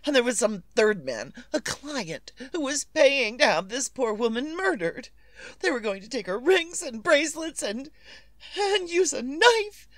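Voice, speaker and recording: An actress playing a nervous, demanding, well-to-do invalid wife in old-time radio suspense style, imperious and melodramatic. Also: female voice, high-pitched, very animated, measured pace, fairly bright tone, dry recording, exaggerated voice. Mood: agitated, urgent.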